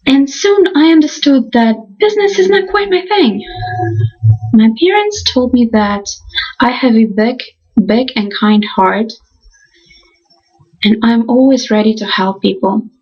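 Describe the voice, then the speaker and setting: Young woman, earnest class presentation, breathy higher voice, dull lo-fi recording. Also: expressive, a little mellow. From Ukraine. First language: Russian. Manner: earnest, halting, frequent restarts. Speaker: college student.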